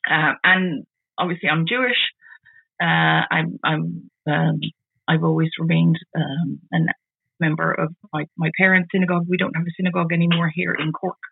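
A woman in her forties from the Netherlands, with a Dutch accent, talking casually as an interview guest, halting and often repeating words as she restarts. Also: low voice, steady tone, gravelly, slightly rough, dry recording. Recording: tinny phone-like call audio.

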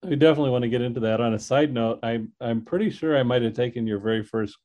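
A man's voice: medium pitch, fast talker, a little mellow. A man co-hosting an agriculture podcast, speaking in a friendly, conversational way. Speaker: podcast co-host. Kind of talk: casual podcast chat.

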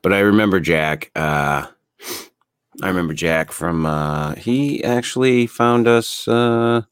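A man's voice: low-pitched, slow, clear, resonant tone, no room sound. A man co-hosting a podcast in loose, laid-back chat, swearing freely and filling gaps with "uh". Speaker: podcast co-host.

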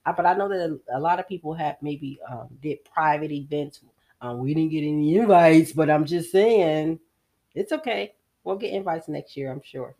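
A woman co-hosting a podcast, bantering in a dry, deadpan, teasing way. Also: deep voice, normal speed. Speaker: podcast co-host. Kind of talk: casual podcast chat.

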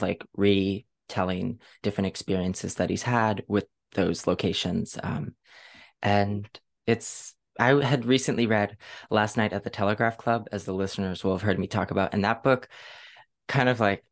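A man's voice: on the low side, steady tone, moderate pace, gravelly. A man hosting a podcast in a casual, chatty interview style, with an enthusiastic, gushing manner. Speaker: podcast host.

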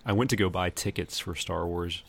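A man's voice: deep voice, normal speed, creaky, a bit bright, husky. A man co-hosting a podcast, chatting casually about films in a laid-back, slightly hesitant way. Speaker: podcast co-host.

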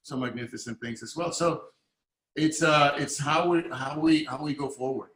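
Guest, male, grew up in Puerto Rico, animated talker with a slightly high voice, roomy echo. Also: normal speed. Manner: animated, thoughtful, many restarts. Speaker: guest, arts and Spanish teacher. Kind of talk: casual podcast conversation.

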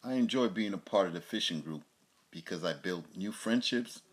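A middle-aged father giving plain, steady answers about his communities. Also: deep voice, moderate pace. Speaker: father, contractor. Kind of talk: interview answers.

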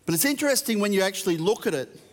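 Australian man, pastor, sermon, conversational, higher-pitched, reverberant hall. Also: animated, rapid-fire. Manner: conversational preaching, reading scripture aloud.

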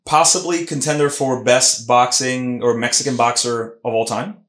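A man's voice: medium pitch, moderate pace. Guy hosting a fight podcast, keeps restarting lines, roomy sounding mic. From Puerto Rican heritage. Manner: animated, emphatic, lots of restarts. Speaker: podcast host. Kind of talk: casual combat sports podcast chat. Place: Philadelphia podcast studio room. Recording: roomy echo.

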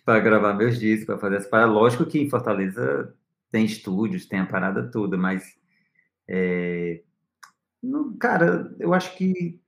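A man's voice: on the low side, big pitch swings, moderate pace, vocal fry, fairly bright tone, echoey room. A man with a Northeastern Brazilian accent, a musician answering interview questions in a hesitant, searching way. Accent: Northeastern Brazilian Portuguese. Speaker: musician guest. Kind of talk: interview conversation.

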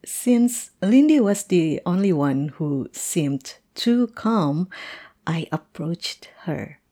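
A woman playing a playful, chatty teacher telling a colleague a story in an animated, amused, conversational audio roleplay. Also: on the low side, takes it slowly. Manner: animated, amused, punctuated with chuckles.

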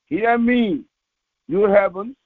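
A thin, phone-like recording with very little room sound carries a man's high-set voice. He is a pastor delivering a devotional and reading scripture solemnly. His phrases are short and deliberate, with a halting delivery. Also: dry recording. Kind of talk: devotional sermon with slow scripture reading aloud. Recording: tinny, phone-like narrowband audio.

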